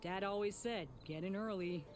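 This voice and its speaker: A woman's voice: medium pitch, normal speed, noisy background, lightly acted. An actress playing a worried, guilt-ridden female tinkerer in a video game, speaking in an earnest, wry, conversational way. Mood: sheepish, wry. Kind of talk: video game character acting. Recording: faint music behind the voice.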